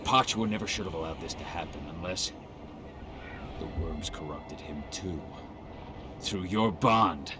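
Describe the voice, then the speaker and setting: A man's voice: deep voice, measured pace, pauses often, background noise. A man playing a brooding, determined werewolf warrior in a video game cutscene, speaking in a terse, stern way. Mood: accusing, angry.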